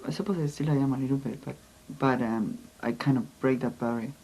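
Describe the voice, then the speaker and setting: A man with a Spanish accent, a native Spanish speaker and immigrant musician, speaking earnestly and haltingly in a documentary interview. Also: mid-range voice, vocal fry, poor audio.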